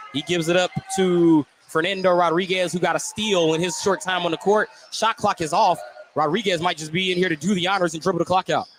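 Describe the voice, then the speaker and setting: A male radio announcer delivers live basketball play-by-play in a fairly high voice. He speaks from inside a gym, with faint ambient noise behind his commentary. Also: fairly bright tone.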